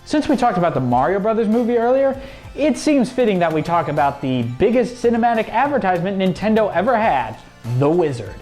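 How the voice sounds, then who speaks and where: Male review co-host, high voice with big pitch swings, emphatic casual narration, light music bed. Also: big pitch swings, fairly quick, darkish tone. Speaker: co-host of a gaming review show. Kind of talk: casual scripted review commentary. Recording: music playing under the voice.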